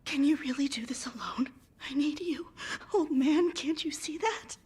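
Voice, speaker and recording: A woman's voice: high voice, moderate pace, close to an everyday voice. An actress voicing a desperate woman who pleads in a whisper with an old man, heard in a noisy clip from a dubbed series. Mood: pleading.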